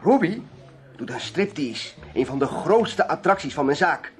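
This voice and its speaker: An actor playing a jovial, obliging nightclub owner with an effusive, smooth-talking manner in a radio drama. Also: male voice, a little high, lively intonation, measured pace, faint music behind.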